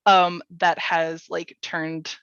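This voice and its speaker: A woman chatting casually as a workshop panelist about storytelling, in halting phrases peppered with "like". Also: fairly low pitch, little pitch movement, a bit bright, clear, resonant tone. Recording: roomy echo, slightly dull sound.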